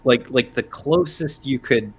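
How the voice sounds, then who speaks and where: A man's voice: medium pitch, a little slow, a little hiss or hum. A man in his thirties giving analytical, unscripted panel commentary in a hesitant, thinking-aloud way. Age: 30s.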